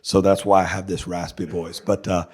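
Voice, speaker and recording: A middle-aged man from North Carolina, giving a joking, chatty seminar talk with a raspy voice hoarse from laryngitis. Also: low voice, flat delivery, normal speed, darkish tone. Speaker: seminar speaker. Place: conference hall. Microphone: handheld mic.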